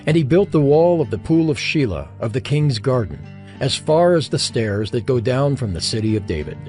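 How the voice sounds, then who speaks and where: A man reads scripture as a dramatic narrator. His mid-pitched voice moves expressively through solemn, measured phrases, with soft music underneath. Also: big pitch swings. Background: background music.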